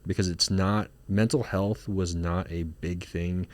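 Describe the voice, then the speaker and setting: A man's voice: low-pitched, steady tone, measured pace, no echo. A man from Singapore, a marriage and family therapist, talking casually in an interview with many fillers and restarts.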